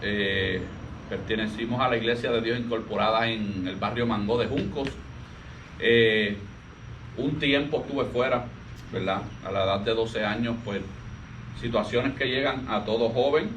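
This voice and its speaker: A man in his forties with a Puerto Rican accent, a pastor talking about his life in a relaxed interview, soft-spoken and halting with frequent restarts. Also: lowish voice. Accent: Puerto Rican Spanish. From Puerto Rico. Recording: distant mic with faint ambient noise.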